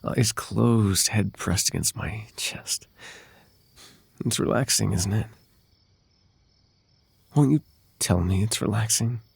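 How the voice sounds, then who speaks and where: A man voicing a possessive fae royal in an ASMR roleplay, courtly and coaxing with soft menace under a silky, creaky voice. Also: fairly low pitch, slow, pauses often, no echo, exaggerated voice. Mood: tender, coaxing. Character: possessive, silky male fae royal wooing a captive lover. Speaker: voice actor.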